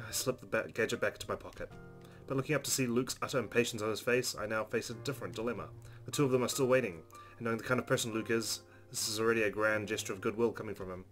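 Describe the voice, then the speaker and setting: A man's voice: lowish voice, fairly bright tone. A man hosting a game playthrough, reading the story narration aloud expressively. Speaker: let's play host reading game narration. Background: game music.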